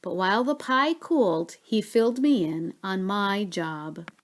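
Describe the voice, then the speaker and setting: A woman reading a children's story aloud expressively, with dramatic pauses and stretched key words. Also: mid-range voice.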